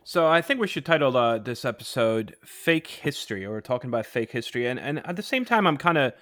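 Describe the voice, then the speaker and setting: A man's voice: mid-range voice, expressive, brisk, fairly bright tone, dry recording, studio quality. A middle-aged man co-hosting a casual podcast, rambling with lots of fillers and restarts. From Poland. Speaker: podcast co-host. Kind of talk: casual chat.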